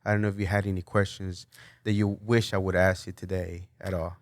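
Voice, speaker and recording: A man from Mexico hosts a podcast interview in a fairly low voice. He asks loose, friendly questions and keeps the talk going with quick "right" and "yeah" replies. The sound is close and dry. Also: level tone, no room sound. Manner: easygoing, asks loose questions and backs the guest with short replies. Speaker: podcast host.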